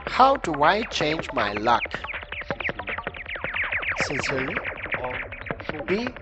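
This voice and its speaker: Man playing slow, swooping, self-pitying regular guy. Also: fairly high pitch, big pitch swings. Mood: earnest, self-pitying. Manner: halting, earnest, self-pitying. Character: awkward self-styled regular guy, unlucky in love. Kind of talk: scripted comic letter monologue. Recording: muffled lo-fi sound under loud synth music.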